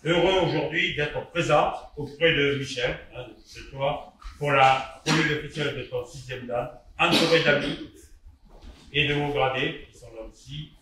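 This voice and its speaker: Male official, formal prepared remarks; bright tone, very echoey distant recording. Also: mid-range voice, a little hiss or hum. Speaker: judo official giving a speech. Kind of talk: prepared ceremonial speech. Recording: echoey hall, distant mic.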